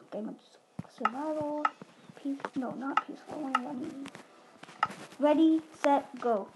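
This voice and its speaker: A woman's voice: sits high, unhurried, very noisy. A woman giving casual, playful, chatty commentary during a video game roleplay. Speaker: gaming video host.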